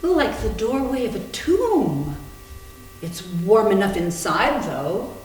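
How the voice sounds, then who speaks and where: Cool-headed, wry female houseguest woman performer: animated intonation, readers theater, strong room echo. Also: medium pitch, slow pace. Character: Theodora, wry, coolly rational woman. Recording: very echoey room with faint hum.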